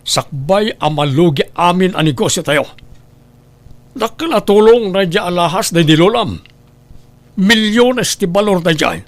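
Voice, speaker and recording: A man's voice: sits high, lively intonation, unhurried, dry recording. A man with an Ilocano accent playing an angry, money-worried businessman father in an audio drama. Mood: agitated. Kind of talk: Ilocano radio-style drama acting.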